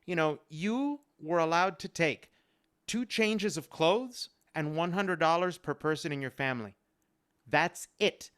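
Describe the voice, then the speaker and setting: A man with a resonant, clean voice that sits a little high. He speaks as a guest on an online panel. His storytelling is earnest and personal, leaning on repeated phrases for emphasis. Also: a little slow, a bit bright, clear, resonant tone. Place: remote live-stream video call.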